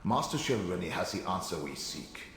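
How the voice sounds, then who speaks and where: A man's voice: low-pitched, big pitch swings, moderate pace. An actor playing a resolute, solemn male counsellor in a fantasy video game, speaking in an assured, formal way. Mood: calm, resolute. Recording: echoing voice under clear music.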